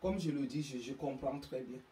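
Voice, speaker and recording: A woman's voice: very deep voice, mellow, roomy sound, far from the mic, low-quality recording. A woman with an African French accent hosting her own channel, delivering an emphatic, moralizing monologue. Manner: slow, emphatic, preachy. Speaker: YouTube channel host.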